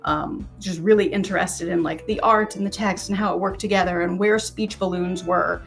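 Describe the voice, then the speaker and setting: A woman's voice: lowish voice, fairly even intonation, normal speed, gravelly, faint music behind. An American woman around forty, from Connecticut, talking casually as an interview guest on a video call and telling her own story. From Connecticut, United States. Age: 30s or 40s. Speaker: interview guest, author and teacher.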